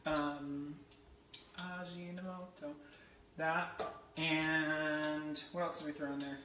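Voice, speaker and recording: A man's voice: on the high side, bright, forceful tone, recorded from a distance, slight background noise. A young man demonstrating a recipe on a home cooking show, laid-back and rambling with lots of fillers. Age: young adult. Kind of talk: casual cooking demo. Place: home kitchen. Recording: roomy, a bit off-mic.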